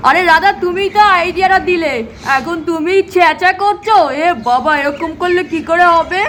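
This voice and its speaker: A woman's voice: extremely high pitch, normal speed, a bit bright, a little hiss or hum. A woman voicing a hapless, complaining young husband in a doll cartoon, plaintive and full of exclamations. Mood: indignant. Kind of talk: doll-play cartoon story acting.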